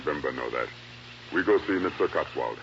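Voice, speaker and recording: Big calm haitian guide, real deep, broken english, noisy old radio show. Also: male voice, moderate pace, muffled recording. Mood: calm, loyal. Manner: broken English, speaks of himself in third person. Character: huge, strong, loyal Haitian guide, simple and calm. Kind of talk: 1950s radio drama acting.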